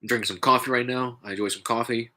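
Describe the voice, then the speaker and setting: A man with a stuffy, congested nose, talking in a halting, casual way with many fillers and restarts, vlogging from home. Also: medium pitch, steady tone, pushed and bright. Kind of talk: casual rambling vlog.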